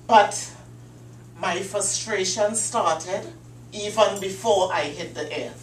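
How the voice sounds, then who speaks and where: Woman speaker telling a comic story, very unhurried, mic far away in an echoey meeting room. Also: mid-range voice. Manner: deliberate dramatic storytelling with pauses. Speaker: Toastmasters club speaker. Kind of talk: prepared humorous speech. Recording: echoey room, distant mic, faint hum.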